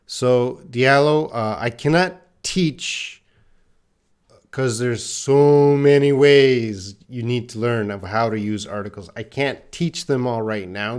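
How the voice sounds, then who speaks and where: Slow-paced middle-aged male English teacher. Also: fairly low pitch. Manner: plain-spoken, addresses learners by name, repeats phrases for emphasis. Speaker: English teacher hosting a live class. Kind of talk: live-stream teaching, answering student comments.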